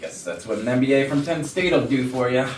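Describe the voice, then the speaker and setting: A man's voice: medium pitch, lively intonation, fairly quick, natural voice. A man playing a pompous, boastful, scheming office striver in a staged comedy reading. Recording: echoey room far off, faint noise.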